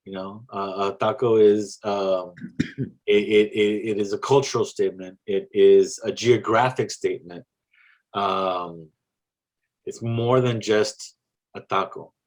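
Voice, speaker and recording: South texas guy w/ a low voice, talking slow and thoughtful in a podcast chat, lots of restarts. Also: fairly even intonation, a little mellow. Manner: reflective, halting, with many restarts and fillers. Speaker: poet guest. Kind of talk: casual interview chat.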